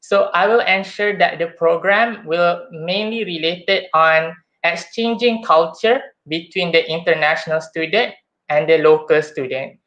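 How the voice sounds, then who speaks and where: Young man, Malaysian accent, student campaign speech, chatty and halting, muffled lo-fi call sound. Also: a little high, fairly bright tone. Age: young adult. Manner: chatty, hesitant, addresses audience as guys. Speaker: student election candidate.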